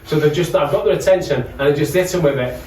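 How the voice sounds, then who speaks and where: A man's voice: on the high side, fast, darkish tone. A man chatting casually as a podcast guest, telling anecdotes with jokey, sweary banter. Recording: roomy, distant mic with faint noise.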